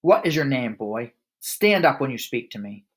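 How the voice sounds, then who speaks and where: A man voicing a loud, bullying female headmistress who barks and sneers. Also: medium pitch, very animated, normal speed, husky. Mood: scornful shouting. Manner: barking, scornful.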